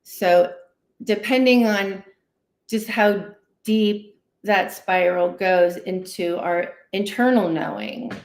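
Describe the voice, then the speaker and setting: A woman's voice: mid-range voice, fairly even intonation, slow pace. A woman guest therapist explains things conversationally and animatedly, acting out inner dialogue and often checking in with "right?". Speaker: guest therapist, licensed clinical social worker. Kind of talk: conversational explaining in a livestream interview. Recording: roomy, echoey sound.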